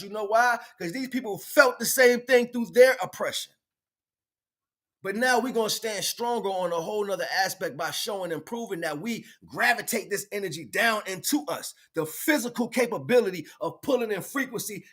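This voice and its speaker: A man's voice: sits high, slightly rough. A man giving an improvised spiritual, motivational livestream talk in an emphatic, preachy way, repeating phrases for effect.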